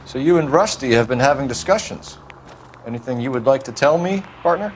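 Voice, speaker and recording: A male actor performs an earnest, by-the-book male detective from a 1940s crime video game. His pitch moves in a very animated way while his phrasing stays clipped, formal and businesslike. He comes across as brisk and proud. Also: slight background noise, lightly acted, poor audio. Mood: challenging. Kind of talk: cinematic game dialogue acting.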